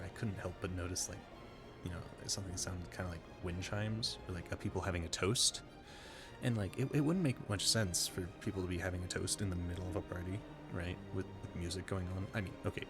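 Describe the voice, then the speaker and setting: Polite, awkward gentleman ball guest for audio roleplay, played by a man; deepish creaky voice, effusive, stammering, rambling. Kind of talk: audio roleplay addressed to the listener.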